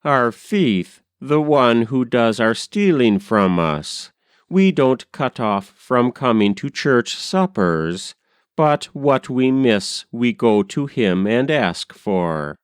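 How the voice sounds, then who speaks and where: A man reading narrative poetry aloud in a measured, deliberate storytelling way. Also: mid-range voice, big pitch swings, clean tone, no room sound, clean studio sound. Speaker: poetry reader. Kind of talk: measured literary poem recitation.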